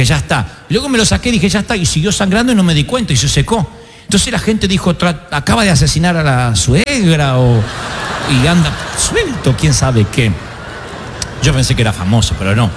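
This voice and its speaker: A man with an Argentine accent, a pastor preaching a sermon with self-mocking stories and slow, emphatic stresses on key words. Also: a little high, big-room sound, a little hiss or hum. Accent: Argentine Spanish. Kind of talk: sermon with humorous anecdotes.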